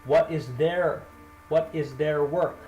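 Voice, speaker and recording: A man gives a slow, carefully paced English lesson, repeating simple example sentences and correcting himself. His voice sounds roomy and echoey. Faint ambience hums behind him. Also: a little high, fairly even intonation, a little mellow, slight background noise. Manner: deliberate, repeats drill sentences, self-corrects. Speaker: English teacher. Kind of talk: slow spoken grammar lesson.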